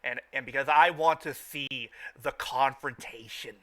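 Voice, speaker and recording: A man hosting a casual podcast, talking off the cuff in a halting way with stammered, repeated words. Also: mid-range voice, a bit bright. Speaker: podcast host. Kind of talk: casual unscripted podcast chat.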